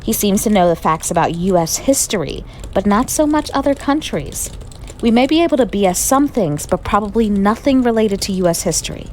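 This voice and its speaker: A woman's voice: mid-range voice, lively intonation, a little fast, a little mellow, slight background noise, natural voice. A woman playing a confident, cheeky teenage debate researcher in an amateur radio drama, reporting informally.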